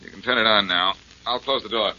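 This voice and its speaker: An actor playing a glib, self-assured amateur detective in 1940s radio drama style, teasing and dryly sarcastic. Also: male voice, fairly low pitch, fairly quick, pushed and bright. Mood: confident, intent. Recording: muffled, noisy 1940s radio recording.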